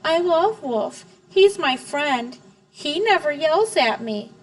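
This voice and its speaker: A woman reading aloud, voicing a timid, gentle four-year-old boy in a soft, pleading way. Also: high voice, animated, slow, far from the mic, voice pushed up, poor audio. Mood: affectionate.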